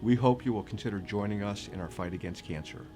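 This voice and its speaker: A man's voice: lowish voice, quick pace. A man who directs a research training program, explaining it in a promotional style. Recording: music bed behind the voice. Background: music.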